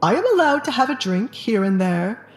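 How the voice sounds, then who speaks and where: A woman's voice: a little high, expressive, moderate pace, roomy sound, soft background music, close to an everyday voice. A woman playing a weary, irritable middle-aged husband with secrets, grumbling dismissively in an audio drama.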